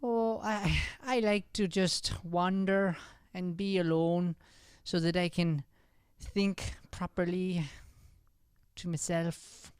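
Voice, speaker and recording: A man's voice: sits high, steady tone, slow pace, clean tone, dry recording, clean studio sound. A man playing a shy, smitten fourteen-year-old Irish schoolboy in an improvised radio comedy, stammering and flustered. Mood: bashful, earnest.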